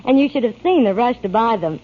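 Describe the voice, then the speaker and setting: A woman's voice: a little high, animated, fairly quick. A woman delivering scripted ad dialogue as a 1940s radio spokeswoman. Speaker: commercial spokeswoman. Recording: muffled 1940s radio broadcast.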